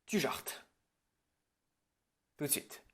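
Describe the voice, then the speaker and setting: A man playing an irritable, bossy film director in an improvised parody roleplay, alternating hesitant muttering with curt, sarcastic orders. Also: very slow. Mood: angry, threatening. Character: irritable, bossy male film director running a prep meeting.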